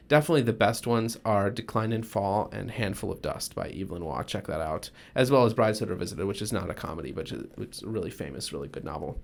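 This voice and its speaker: A young man co-hosting a podcast, chatting casually and relaxed with frequent fillers. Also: fairly low pitch, vocal fry. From United States. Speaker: podcast co-host.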